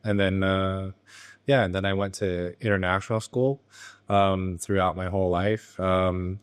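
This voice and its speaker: A young man from Thailand with an American accent, a business founder answering interview questions in a relaxed, halting way with many ums and uhs. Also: low-pitched, smooth. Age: young adult. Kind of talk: casual interview. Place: his motorcycle garage.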